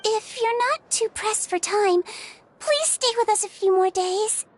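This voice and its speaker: Very high, sweet little nurse. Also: female voice, animated, a little slow, slight background noise. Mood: sweet, caring. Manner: polite, doting, sweetly formal. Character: sweet, gentle, petite female infirmary head nurse. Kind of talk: video game voice acting.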